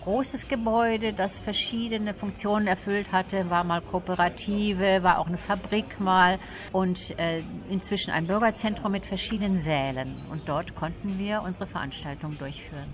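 A woman's voice: medium pitch, normal speed. A woman guest in a radio interview, explaining things in a thoughtful, detailed way. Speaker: interview guest, literary event organiser.

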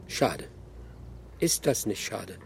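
An actor playing a calm, sly, unflappable rural man in an audio drama, dryly polite and teasing. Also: fairly low pitch, slow pace, gravelly, slight background noise, heavily acted. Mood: slyly polite.

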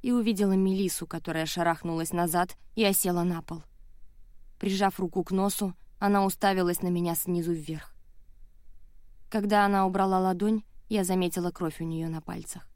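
Woman, audiobook narrator, dramatic first-person storytelling, lowish pitch, dry close studio sound. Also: steady tone, fairly quick, darkish tone, no echo.

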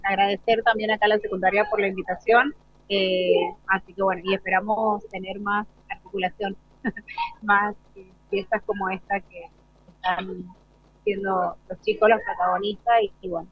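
A woman speaking informally as a radio guest, in a hesitant, halting way. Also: medium pitch, little pitch movement. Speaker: primary school director, guest. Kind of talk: informal spontaneous thanks. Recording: dull lo-fi live radio recording.

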